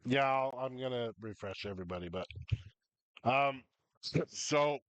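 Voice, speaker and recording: Man, game master, stuffy nose, slight cough, slow delivery, rambling with ums, dry close sound. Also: mid-range voice, expressive, fairly bright tone, no room sound, muffled recording. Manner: rambling, many ums and uhs. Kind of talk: narrating a tabletop game.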